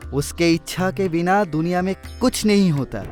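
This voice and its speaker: A man playing a gentle, devout divine sage in a cartoon, with measured, instructive delivery and a chanting way of talking. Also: sits high, natural voice. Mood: gentle teaching. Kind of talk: cartoon mythological dialogue. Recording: faint music behind.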